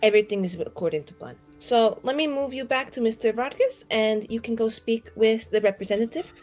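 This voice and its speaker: A woman playing a courteous, smooth-talking official who speaks formally and reassuringly. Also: on the high side, fairly quick, darkish tone, faint music behind, natural voice.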